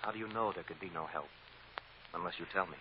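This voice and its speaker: An actor playing a stern, righteous defense lawyer, deliberate and insistent, in an old-time radio drama. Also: male voice, sits low. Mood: persuasive, pressing. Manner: deliberate, insistent, repeats phrases for emphasis. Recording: dull, noisy old radio recording.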